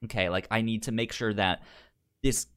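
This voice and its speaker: Male podcast co-host, lowish pitch, conversational and halting, frequently repeats syllables while discussing film. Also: fairly even intonation, a bit bright, clear, resonant tone.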